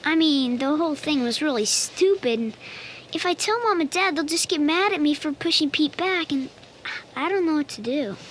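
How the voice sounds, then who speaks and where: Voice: high-pitched, expressive, normal speed, fairly bright tone, slight background noise. A performer playing an earnest, hesitant schoolboy confiding his trouble in a family radio drama. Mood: worried. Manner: hesitant, childlike.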